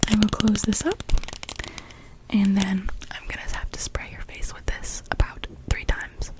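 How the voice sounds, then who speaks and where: Slow whispering woman as a gentle witch. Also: medium pitch, flat delivery, lightly acted, rough recording. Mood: gentle, soothing. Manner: soft, unhurried, soothing whisper. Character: gentle, welcoming witch brewing potions. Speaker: ASMR performer. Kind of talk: whispered ASMR roleplay.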